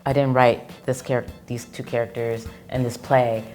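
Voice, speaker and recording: Very deep-voiced woman, animated storyteller. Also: moderate pace, soft background music. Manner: animated storyteller who acts out quoted lines. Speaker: actress and producer, interview guest. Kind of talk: casual interview chat.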